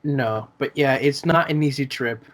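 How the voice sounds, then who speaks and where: A man's voice: medium pitch, moderate pace, a bit bright. A man in his early twenties talks casually in a mid-range voice. He is a little hesitant and often repeats a word before carrying on. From South America. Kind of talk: casual chat.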